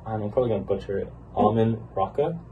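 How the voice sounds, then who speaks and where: A man's voice: on the low side, quick pace, phone-quality sound. A young man, a friend of the vlogger, joking and laughing in a dorm room. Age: young adult. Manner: playful, joking, laughs a lot. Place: college dorm room, indoors. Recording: distant voice with clear background noise.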